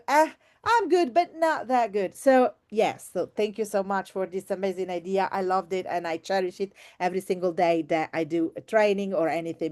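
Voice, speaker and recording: Enthusiastic, effusive woman presenting. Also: medium pitch. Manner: enthusiastic, effusive, expressive, repeats words for emphasis. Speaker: teacher and trainer presenting. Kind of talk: informal online workshop presentation.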